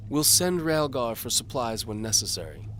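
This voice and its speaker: A man's voice: mid-range voice, big pitch swings, normal speed, fairly bright tone, a little hiss or hum, pitched above the performer's own voice. A man voicing an imperious, cold duke in an audio drama, barking out commands. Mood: impatient, obsessed.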